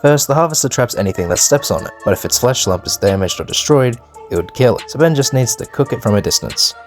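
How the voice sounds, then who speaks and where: A man's voice: medium pitch, expressive, fast talker. A man delivering scripted but casual, opinionated video commentary, full of informal slang and swearing. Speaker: video narrator and host. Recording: music behind the voice. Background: background music.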